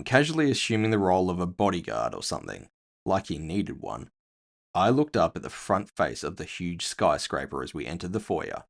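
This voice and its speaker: A man's voice: sits low, vocal fry. A man narrating scripted first-person fiction with a wry storytelling manner.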